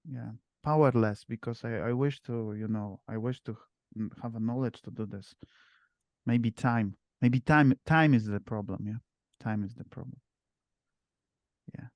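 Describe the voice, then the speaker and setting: A man's voice: medium pitch, a little mellow, no room sound, muffled recording. Polish man hosting a casual group call. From Poland. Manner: halting, many fillers and restarts. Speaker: meetup host. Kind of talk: casual online group chat.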